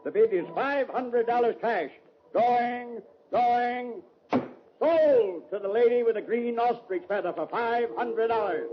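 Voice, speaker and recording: An actor playing a brisk, insistent auctioneer in an old radio western, calling out with clipped repetition. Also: male voice, extremely high pitch. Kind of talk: 1940s-50s radio western acting. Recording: dull, hissy noisy old radio recording.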